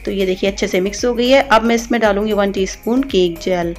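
Woman, medium pitch, informal instructional voice-over, cooking host, faint background music. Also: brisk. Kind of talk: recipe voice-over, step-by-step instruction.

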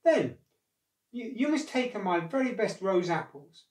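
A storyteller voicing a friendly, quick-witted male monkey in an excitable manner, for a folk tale. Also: mid-range voice, pitched above the performer's own voice. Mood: cheerful, generous. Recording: roomy echo, distant microphone.

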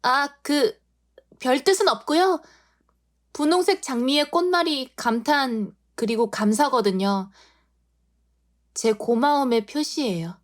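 여자가 연기하는 수줍은 꽃집 딸, 높은 목소리. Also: female voice, high voice, slow, pauses often. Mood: shy. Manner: soft-spoken and shy. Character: shy young woman working at her mother's flower shop.

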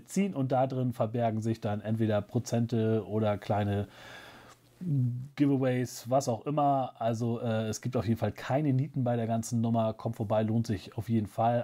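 A man's voice: medium pitch, warm tone. A man in his forties presenting a casual shop show to camera, chatting in a rambling, jokey, self-ironic way. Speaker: shop owner presenting a weekly web show. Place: small cluttered indoor room.

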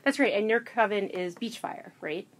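A woman's voice: medium pitch, normal speed. A woman interviewing a guest, friendly and curious, often laughing along. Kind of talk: casual interview.

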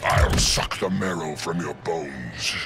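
A huge talking gorilla villain in a fighting game. He is gravelly and unhurried, full of savage contempt. Music sits behind the voice. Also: a bass voice, exaggerated voice. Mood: haughty menace. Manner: haughty, disdainful. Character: arrogant, savage giant talking gorilla warlord. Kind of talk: video game fight banter.

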